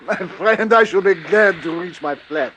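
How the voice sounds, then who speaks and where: High-voiced, suave Belgian detective. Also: male voice, slow, a bit bright, heavily acted. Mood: amused, smug. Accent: Belgian French. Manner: courteous, precise, amused self-satisfaction. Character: suave, self-assured Belgian gentleman detective. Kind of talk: vintage radio drama acting. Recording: noisy vintage radio drama recording.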